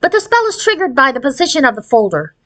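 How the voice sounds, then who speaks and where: An irritable fairy godmother from a children's storybook, played by a woman reader. Her voice is pitched high, and she speaks with pompous, wordy impatience. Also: big pitch swings, fast, a bit bright. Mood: irritated, pompous.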